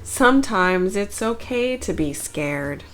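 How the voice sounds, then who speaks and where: A woman reading the part of an anxious, honest, good-hearted bear in a children's storybook, earnest and deliberate. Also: medium pitch, lively intonation, rough recording. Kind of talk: children's storybook read-aloud.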